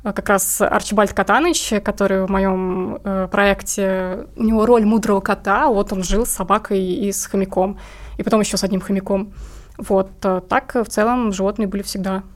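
A woman's voice: mid-range voice, steady tone, moderate pace. A woman speaking casually as an interview guest, talking about her own work as an illustrator.

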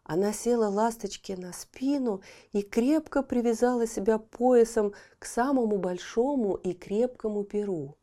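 A woman narrating a children's fairy tale audiobook, telling it expressively and dropping to a hushed voice at times. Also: a little high, measured pace, hardly any pauses.

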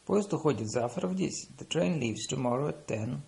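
Gravelly, lively man reading lesson phrases. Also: mid-range voice. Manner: slow, deliberate English after brisk Russian. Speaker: language teacher.

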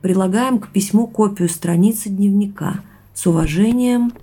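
A woman's voice: mid-range voice, moderate pace, warm tone, slightly rough, natural voice. An actress playing a thoughtful young woman in a naturalistic audio drama, speaking in a reflective, earnest way. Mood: absorbed reading aloud. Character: thoughtful young woman sharing family mystery with boyfriend. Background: music underneath.

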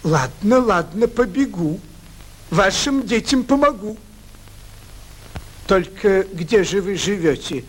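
A kindly cartoon helper, speaks in singsong verse. The voice is high and the pace is slow, in the style of an old children's cartoon. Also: male voice, expressive, breathy edge, heavily acted, rough recording. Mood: obliging.

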